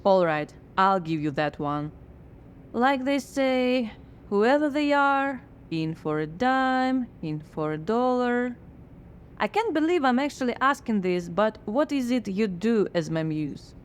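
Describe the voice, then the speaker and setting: A woman's voice: on the high side, big pitch swings, unhurried, slight background noise. A woman playing a skeptical, frazzled scriptwriter in a radio drama, dry and sardonic.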